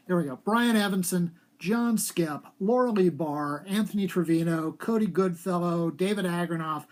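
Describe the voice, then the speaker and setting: A man speaking as an author at a live reading of short fiction, with an emphatic storytelling delivery. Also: a little high. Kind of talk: live dramatic literary reading.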